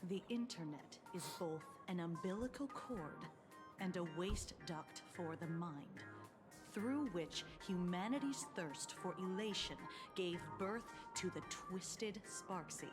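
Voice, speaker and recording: A woman voicing a composed adult female defense officer in a game, speaking in a measured, formal way over game music. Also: fairly low pitch, animated. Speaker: game character. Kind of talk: dubbed game dialogue.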